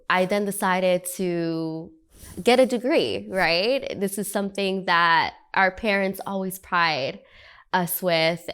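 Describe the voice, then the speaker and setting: Earnest young woman from Guyana, smooth voice. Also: on the low side, fairly bright tone. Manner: earnest, reflective, repeats phrases for emphasis. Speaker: oral history participant. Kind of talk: personal oral history testimony.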